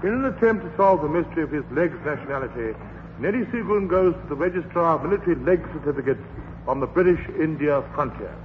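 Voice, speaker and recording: A man announcing a radio comedy in a crisp, formal manner. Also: a little high, animated, quick pace, long pauses, phone-quality sound. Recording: old radio broadcast, hiss, reverb.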